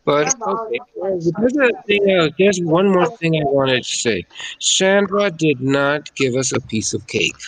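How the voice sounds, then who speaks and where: A man giving a casual shout-out on a group gaming chat, haltingly searching for words. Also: on the high side, lively intonation, rough recording. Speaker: guest on a gaming show.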